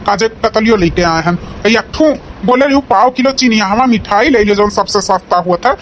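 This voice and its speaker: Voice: brisk, lightly acted. A performer voicing a practical, patient village husband in an Awadhi comedy cartoon, plain-spoken and questioning. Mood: matter-of-fact. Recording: faint rumble and background noise.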